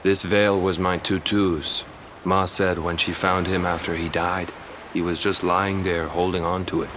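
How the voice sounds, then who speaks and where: A man's voice: sits low, level tone, measured pace, a bit bright, slight background noise. An actor playing a young village fisherman in a video game, defensive and weary, with a blunt, guarded way of talking. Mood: sombre, resolute. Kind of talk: video game cutscene acting.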